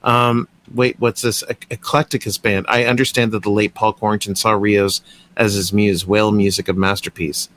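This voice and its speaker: A man's voice: on the low side, little pitch movement, normal speed, a bit bright. A young Canadian man hosting a podcast, eager and rambling, with lots of restarts as he talks. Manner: enthusiastic, rambling, many restarts. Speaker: podcast host. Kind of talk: casual interview chat.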